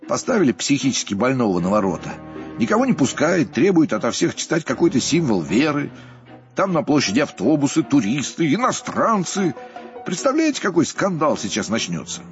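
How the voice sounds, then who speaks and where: A man voicing an indignant, pompous Soviet official who shouts and blusters, his voice raised high above his own. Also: big pitch swings, measured pace, few pauses, lo-fi sound, soft background music. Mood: agitated.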